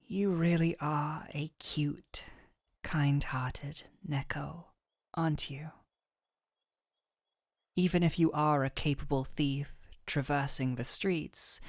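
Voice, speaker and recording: A woman playing a gentle, teasing doctor in an intimate fantasy audio roleplay, speaking softly and affectionately, close to the microphone. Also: low-pitched, dry recording, heavily acted. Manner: soft, breathy near-whispers, affectionate teasing.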